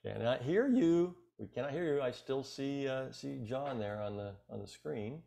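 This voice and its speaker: A man's voice: medium pitch, expressive, normal speed, vocal fry, dark tone. An older man hosting a video call. He talks in a directing, organizing way as he calls on each speaker in turn. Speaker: meeting host.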